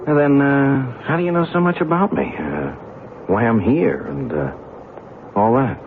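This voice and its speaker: An actor as wisecracking American reporter, tinny radio. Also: male voice, medium pitch, unhurried, close to an everyday voice. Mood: probing, hesitant. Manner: colloquial, hesitant questioning, wry. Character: brash, skeptical, wisecracking American newspaperman. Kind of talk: old-time radio drama acting. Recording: tinny band-limited old radio recording, faint noise.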